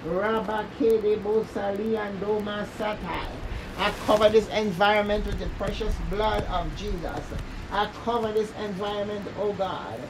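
A woman leading fervent prayer, raspy-voiced, with intense repeated declarations and speaking in tongues. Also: mid-range voice, steady tone, normal speed, a crowd in the background. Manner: intense, repetitive prayer, breaks off to check audio. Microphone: phone or earpiece mic. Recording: distant mic with clear background noise.

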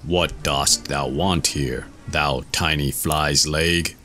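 A man voicing a huge, clownish and stupid male giant, speaking in a slow, ponderous growl. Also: extremely low pitch, fairly even intonation, bright, forceful tone, faint music behind, heavily acted. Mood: gruff.

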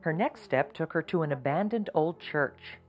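A woman narrating a documentary in a measured, scripted way. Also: deep voice, animated, breathy edge, lo-fi sound, soft background music.

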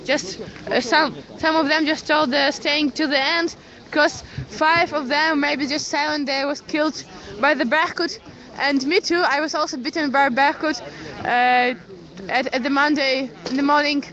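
A young Ukrainian woman with a Ukrainian accent, streaming live from a cold city street in halting English with many restarts, speaking into a phone. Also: sits high, fairly even intonation, fairly bright tone. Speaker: citizen journalist. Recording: lo-fi phone stream with crowd around. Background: crowd of protesters.

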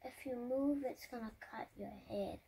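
A woman's voice: fairly high pitch, normal speed, vocal fry, mellow, husky, low-quality recording. A woman chiming in briefly from off to the side inside a van while a haircut is filmed.

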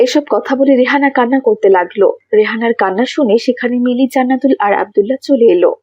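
A woman's voice: on the high side, fast, no echo, lo-fi sound. A woman narrating a scripted moral story for children.

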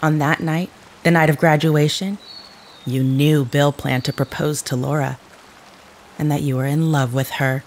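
A woman playing a cold, unsparing otherworldly woman in an audio drama, with measured, formal, precise diction. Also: deep voice, fairly even intonation, a little mellow, slight background noise. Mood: cold, accusing.

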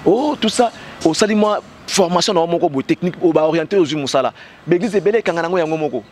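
A man's voice: fairly high pitch, quick pace, darkish tone, slight background noise. A man in his thirties with a Congolese French accent and a cold, talking passionately and emphatically with many fillers in an outdoor street interview into a handheld mic. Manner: passionate, emphatic, heavy "bah" fillers, gestures while talking. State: has a cold. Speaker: interview guest. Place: outdoors on a street by parked cars. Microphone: handheld mic with fur windscreen held by interviewer.

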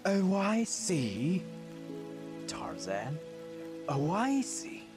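A man with a Swedish accent voicing a curious wild jungle man in a cartoon fan dub, halting and terse as he mimics speech. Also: sits high, huge pitch swings, music in the background, heavily acted. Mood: curious, imitating. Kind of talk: animated film fan dub acting.

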